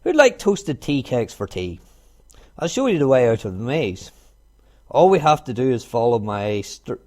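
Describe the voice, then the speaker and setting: A man's voice: mid-range voice, sing-song, normal speed, dark tone, close to an everyday voice. A man playing a kindly, playful gardener in a children's storybook, good-natured and easygoing. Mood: cheery.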